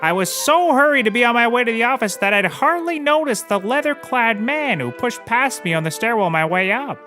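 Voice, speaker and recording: Bright-voiced, rambling shameless private investigator played by a man. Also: very high voice, animated, brisk, faint music behind, exaggerated voice. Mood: eager, rambling. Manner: rambling, casually confessing, oblivious. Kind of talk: scripted comedy courtroom drama acting.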